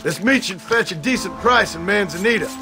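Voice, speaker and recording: An actor playing a gruff, patient Old West father in a video game, with a gravelly rural American Western accent and a steady, terse, folksy manner. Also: high-pitched, brisk. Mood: instructing. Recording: music behind the dialogue.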